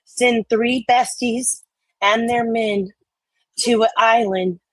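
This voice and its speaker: A woman's voice: fairly high pitch, steady tone, takes it slowly, a bit bright, no room sound, poor audio. A woman hosting a livestream with casual chat, joking and swearing in an informal way. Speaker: livestream host.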